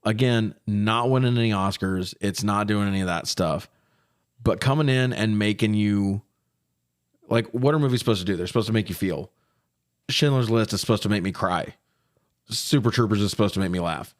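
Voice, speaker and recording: A man hosting a casual film review podcast, recapping in an animated, profane way with frequent laughter. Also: fairly low pitch, normal speed. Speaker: podcast host reviewing films. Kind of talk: casual recap and banter between friends.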